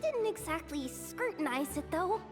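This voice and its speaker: A woman's voice: extremely high pitch, big pitch swings, unhurried, over music, heavily acted. A woman voicing a reluctant, flustered young girl in a video game, hesitant and grumbling as she speaks. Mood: nervous, flustered.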